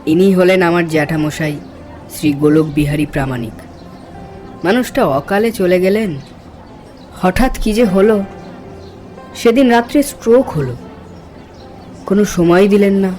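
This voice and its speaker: A man's voice: sits high, a little slow, long pauses, darkish tone. An actor playing a hospitable, insistent village man in a horror cartoon, talking in a chatty, coaxing, folksy way. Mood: sombre explaining. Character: hospitable, insistent village man hosting his junior colleague. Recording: music behind the voice. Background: background music.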